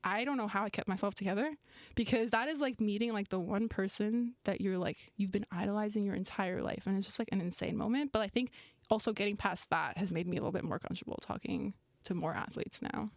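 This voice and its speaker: A chatty young woman whose first language is Romanian, filling her talk with "like" as she answers questions. Her voice sits at a middling pitch, recorded close and dry with no room sound. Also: quick pace, no echo. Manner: chatty, many "like" fillers. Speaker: sports media guest. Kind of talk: casual interview chat.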